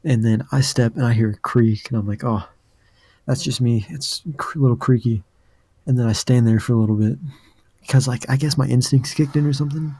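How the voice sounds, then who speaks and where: A young man with a deep voice telling a rambling story on a casual podcast, full of fillers. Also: fairly even intonation, brisk, dark tone, dry recording. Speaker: podcast host.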